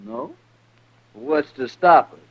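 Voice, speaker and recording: An actor playing a cocky, taunting cowboy troublemaker in a 1950s radio western, drawling with a rustic American Western accent. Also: male voice, on the high side, very animated, slow, vocal fry, full character voice. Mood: menacing. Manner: drawling, swaggering, mocking. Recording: muffled old-time radio recording.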